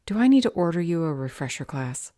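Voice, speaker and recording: A woman playing a stern, bored council official in a fantasy audio drama, deadpan, clipped and sarcastic. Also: on the low side, brisk, no echo, clean studio sound. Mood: sarcastic, curt.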